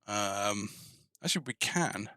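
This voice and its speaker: A man hosting a casual live coding tutorial, thinking aloud informally and tentatively, often pausing mid-thought as he works. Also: lowish voice, expressive, creaky, bright, forceful tone, no echo, clean studio sound. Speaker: host of a coding tutorial stream.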